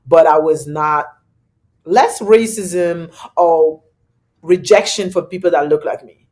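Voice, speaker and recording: A speaker with a thick French accent, a European upbringing clear in the voice. The talk is casual and animated, full of "you know" and quick asides, at an everyday middle pitch. It sounds like a relaxed podcast conversation. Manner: animated storytelling with frequent "you know" fillers. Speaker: podcast guest.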